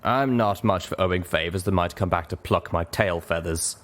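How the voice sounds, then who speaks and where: A man's voice: low voice, moderate pace, a bit bright. A man voicing a proud, tough female griffin mercenary leader in a story reading. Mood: businesslike.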